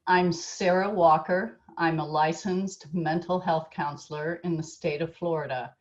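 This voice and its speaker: A woman with a low voice speaks slowly and deliberately, each phrase weighed. The recording is muffled and lo-fi. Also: steady tone. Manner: slow, earnest, deliberate. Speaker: licensed mental health counselor. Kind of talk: video statement.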